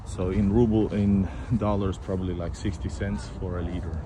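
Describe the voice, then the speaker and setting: A man's voice: deep voice, little pitch movement, normal speed. A man, a Russian speaker, casually chatting to viewers as he walks through city streets and a park. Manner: casual, rambling, chats with passersby and chat. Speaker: vlogger. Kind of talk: walking street vlog talking to viewers. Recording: loud street and traffic noise.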